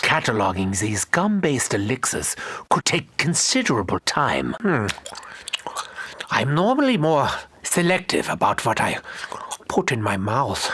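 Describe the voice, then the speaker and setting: A man's voice: mid-range voice, pushed and bright, breathy edge, no echo, full character voice. A male actor playing a sinister, scheming German doctor in a video game, with precise, formal and theatrical delivery. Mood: musing, fussy.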